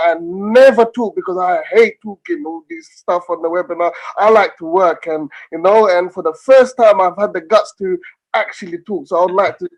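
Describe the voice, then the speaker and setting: Man in his thirties, high voice, eager and gushing, video call, tired. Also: low-quality recording. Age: 30s. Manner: eager, effusive, repeats himself. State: tired from little sleep. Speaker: call participant. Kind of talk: excited testimonial on a video call.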